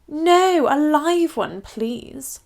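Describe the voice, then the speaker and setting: Woman playing a posh, high-voiced elderly queen. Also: animated, very slow, vocal fry, a little mellow, heavily acted. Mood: firm, polite. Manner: posh, formal, addresses herself as "one". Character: imperious elderly British queen, regal and mischievous.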